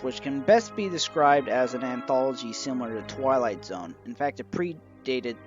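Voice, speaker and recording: A man presenting a collection review in a measured, semi-scripted narration, moving through it item by item. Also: medium pitch. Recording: dull, lo-fi audio with music behind.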